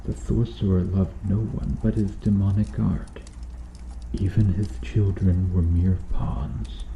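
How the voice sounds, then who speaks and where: Dark-voiced, solemn knight played by a man. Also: sits low, level tone, vocal fry, echoey room, lo-fi sound, a little hiss or hum, full character voice. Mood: solemn, insistent. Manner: formal, earnest, probing, with dramatic pauses. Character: stern medieval knight interrogating an accused noble witch. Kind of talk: ASMR roleplay, dramatic character acting.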